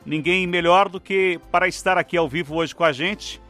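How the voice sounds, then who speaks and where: A man's voice: sits high, fairly even intonation, normal speed, fairly bright tone, faint music behind. A Brazilian man hosting a live radio news interview in a formal announcer cadence. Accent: Brazilian Portuguese. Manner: formal announcer cadence, long flowing sentences. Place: radio studio.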